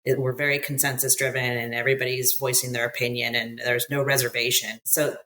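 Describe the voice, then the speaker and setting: A woman's voice: a bass voice, level tone, a little fast, fairly bright tone, slight background noise, rough recording. An American woman talking as a podcast guest about her own experiences, talkative, often restarting mid-thought. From United States. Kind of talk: conversational interview, recounting work experiences abroad.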